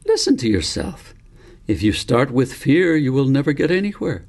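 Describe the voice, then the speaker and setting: A man voicing a wise, adamant male elephant mentor in a storybook, speaking in a firm, instructive, deliberate way. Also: medium pitch, sing-song, darkish tone, pitched above the performer's own voice. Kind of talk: storybook character read by the author.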